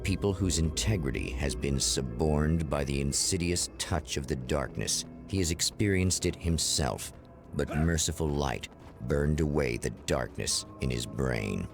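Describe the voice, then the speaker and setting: A man's voice: a bass voice, a little slow, gravelly, over music, exaggerated voice. A man voicing a grave, ominous male narrator for an in-game TV show. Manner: grave, portentous.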